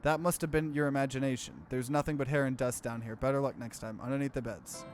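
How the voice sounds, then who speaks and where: A man's voice: medium pitch, fairly even intonation, fast talker, soft background music. A man hosting a let's play, casually commenting and reading game text aloud with expression.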